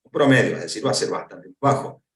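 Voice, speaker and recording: A man's voice: fairly low pitch, expressive, fast, fairly bright tone. A man with an Argentine Spanish accent giving a conference lecture, explaining step by step and posing questions to answer himself. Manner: didactic, asks and answers own questions. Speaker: lecturer on pension law. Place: lecture hall. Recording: echoey hall, voice from far off.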